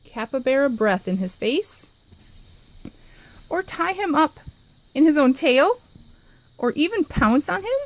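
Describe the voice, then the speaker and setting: A woman reading a children's picture book aloud in an expressive, lively way. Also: high voice, low-quality recording. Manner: expressive, lively, dramatic pauses. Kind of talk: storybook read-aloud.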